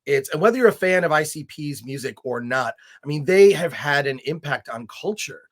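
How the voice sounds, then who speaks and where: A man's voice: a little high, expressive, normal speed. A man hosting a podcast in a casual, enthusiastic chat, his voice a little scratchy. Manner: chatty, enthusiastic, many restarts. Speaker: podcast host.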